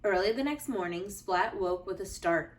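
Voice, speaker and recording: A woman teacher reading a children's storybook aloud with deliberate, expressive phrasing for young listeners. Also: medium pitch, far from the mic.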